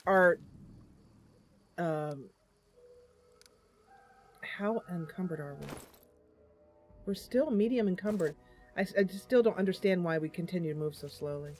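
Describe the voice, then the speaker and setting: A woman talks through her game session in a loose, chatty way, pausing often with fillers as she thinks aloud. Her voice sits at a middling pitch. Faint game music plays underneath. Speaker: gaming channel host. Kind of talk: casual gameplay commentary.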